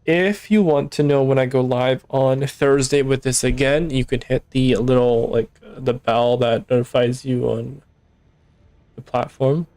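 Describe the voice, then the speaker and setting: A young man streaming a game, hoarse and tired, rambling casually as he thinks aloud. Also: mid-range voice, fairly even intonation, a little slow, darkish tone, dry recording. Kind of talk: casual live-stream commentary.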